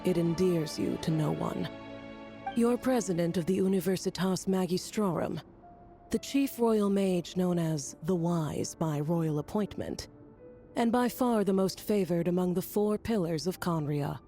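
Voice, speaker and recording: Haughty female scholar, dark relaxed tone, slightly low, blunt, cool, mocking, fantasy game. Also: measured pace, soft background music. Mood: scornful. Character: blunt, haughty, sardonic female court intellectual. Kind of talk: dramatic video game voice acting.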